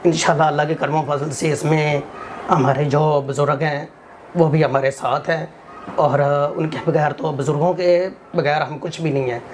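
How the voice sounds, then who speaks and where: A Pakistani man with a sore throat speaks in earnest, appealing tones as a studio guest, a touch higher than most men. His answers run on with habitual fillers. A faint hiss sits under the recording. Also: fairly even intonation, normal speed. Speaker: forest restoration activist, interview guest. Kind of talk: formal interview answers.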